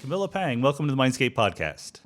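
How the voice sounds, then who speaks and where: A man's voice: medium pitch, very animated, fast, over music. A man hosting a podcast, talking conversationally and explaining ideas with rhetorical asides. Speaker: podcast host. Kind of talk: conversational monologue and interview.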